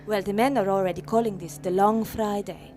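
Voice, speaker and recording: An actress playing a warm, proud feminist mother from Iceland in a radio play, brisk and welcoming as she explains things. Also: medium pitch, steady tone, close to an everyday voice. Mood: proud, explaining.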